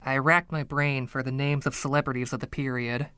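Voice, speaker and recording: A man's voice: mid-range voice, flat delivery, brisk, bright, forceful tone, clear, resonant tone, no room sound, studio quality. A man reading a short story aloud as a scripted first-person narration.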